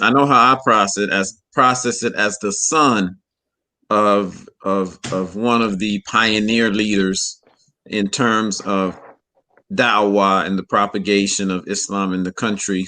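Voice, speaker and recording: A man in his forties addressing a young audience, measured and often repeating words as he searches for them. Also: fairly low pitch, steady tone, sharp tone. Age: 40s. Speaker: community elder speaker. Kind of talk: public address.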